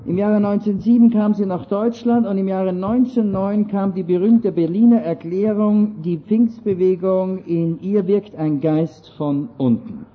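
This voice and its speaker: Husky high-voiced Austrian preacher. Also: male voice, moderate pace, few pauses. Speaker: speaker. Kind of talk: lecture-style sermon. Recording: dull lo-fi recording.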